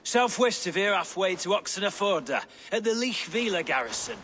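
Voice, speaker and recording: An actor playing a battle-hungry, impatient medieval fighter in a video game, with blunt, urgent delivery. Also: male voice, sits high, big pitch swings, normal speed, fairly bright tone, a little hiss or hum. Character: battle-hungry Anglo-Saxon fighter, freed prisoner, gruffly impatient. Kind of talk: cinematic video game acting.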